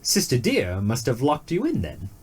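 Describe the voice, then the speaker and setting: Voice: mid-range voice, huge pitch swings, moderate pace, a little mellow, husky. A performer as a puzzled, lilting male rabbit. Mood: feigned puzzlement. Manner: polite, musing, puzzled.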